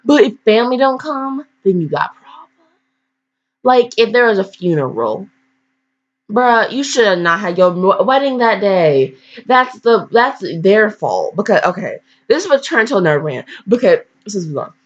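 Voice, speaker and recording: A woman reads aloud and comments in a casual, slangy way, drifting into blunt, mock-scolding asides. Her voice sits at an ordinary pitch. The recording is dull and lo-fi, like a homemade upload. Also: sing-song, normal speed. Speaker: host reading fan fiction aloud. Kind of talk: casual reading with rambling commentary.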